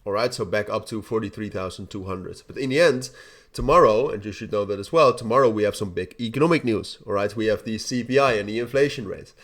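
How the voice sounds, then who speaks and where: A young man talks through a casual market update in a lowish, nasal voice, his nose audibly stuffed up. He rambles, restarts his sentences and keeps tagging them with "all right?". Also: fast talker, a bit bright. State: blocked nose. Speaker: crypto channel host.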